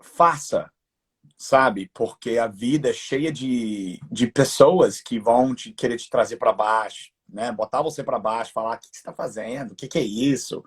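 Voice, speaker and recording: Husky American man speaking Portuguese. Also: medium pitch, moderate pace. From United States. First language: English. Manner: relaxed, laughing, tag questions with "né". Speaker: guest entrepreneur. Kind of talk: live interview chat.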